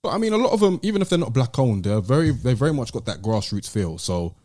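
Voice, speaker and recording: A man's voice: mid-range voice, very animated, fast, warm tone, no echo, studio quality. A British man in a casual podcast discussion, explaining his view in a careful, hedging way. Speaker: podcast host.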